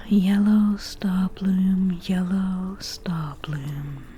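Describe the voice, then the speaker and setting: A woman voicing a laid-back witch brewing potions, whispering breathily and matter-of-factly in an unhurried ASMR roleplay. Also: fairly low pitch, steady tone, dark tone, slight background noise. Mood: murmuring, matter-of-fact. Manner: unhurried, matter-of-fact, casual.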